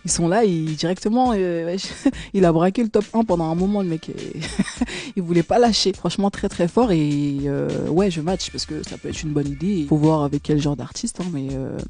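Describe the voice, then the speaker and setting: A woman's voice: on the low side, moderate pace, warm tone, soft background music. A woman singer answering interview questions casually, with slang, frequent giggles and many hesitations.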